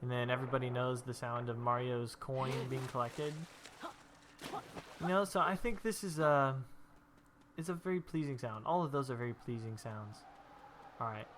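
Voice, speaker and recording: A man's voice: mid-range voice, normal speed, pauses often, background noise. A young man with a stuffy nose from allergies, rambling casually and telling stories while he plays through a video game. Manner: rambling, chatty storytelling while playing. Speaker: gameplay video host. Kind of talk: casual gameplay commentary.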